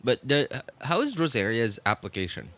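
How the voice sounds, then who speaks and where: A man livestreaming games in casual chat, talking in a halting, rambling way and often repeating himself. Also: mid-range voice, big pitch swings, crowd noise. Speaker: live game streamer. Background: music.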